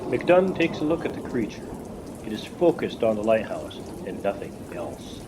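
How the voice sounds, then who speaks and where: A man's voice: lowish voice, very animated, moderate pace. Male radio play narrator over rain noise. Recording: noisy rain and storm ambience.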